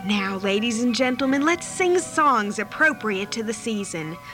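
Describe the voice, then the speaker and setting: A woman voicing a brisk, composed female schoolteacher in a story, calm and firm. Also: medium pitch, soft background music. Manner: calm, firm, hushed authority.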